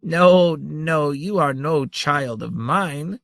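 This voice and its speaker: A man's voice: fairly high pitch, a little slow, vocal fry, a bit bright, no room sound, pitched above the performer's own voice. A man voicing a tiny, ancient, giggling female creature who teases and chitters playfully. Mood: teasing. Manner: tinkling, chittering, teasing.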